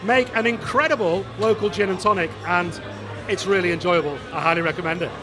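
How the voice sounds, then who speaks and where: A man's voice: high voice, fairly even intonation, brisk. A man hosting an outdoor event, interviewing guests with playful, cheeky presenter patter. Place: outdoor event at a car showroom. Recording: loud event with music and crowd. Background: music and crowd chatter.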